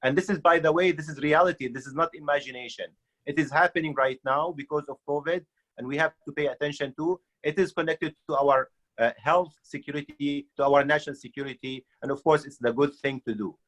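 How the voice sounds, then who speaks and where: A man's voice: fairly high pitch, fairly even intonation, a little fast, fairly bright tone, low-quality recording. A man from Syria with an Arabic accent, making earnest, unscripted panel remarks with hesitant starts.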